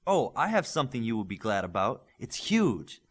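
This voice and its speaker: Eager boy hero-in-training for an audiobook read by a man; earnest, excitable, animated intonation. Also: mid-range voice, moderate pace. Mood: excited.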